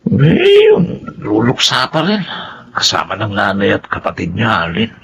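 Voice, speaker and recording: An actor playing an excitable Filipino construction worker with a gravelly voice in a radio drama. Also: male voice, lowish voice, measured pace, a crowd in the background, full character voice. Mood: sombre. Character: excitable male construction workmate bringing grave news.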